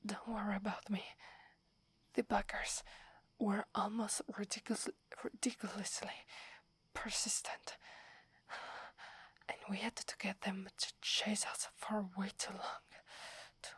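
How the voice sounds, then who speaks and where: A woman with an Italian accent voicing a loyal, deferential young werewolf warrior in a breathy, hesitant ASMR whisper roleplay. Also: mid-range voice, level tone, a little slow, fairly bright tone. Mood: weary, proud. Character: loyal, eager young female werewolf warrior devoted to her alpha.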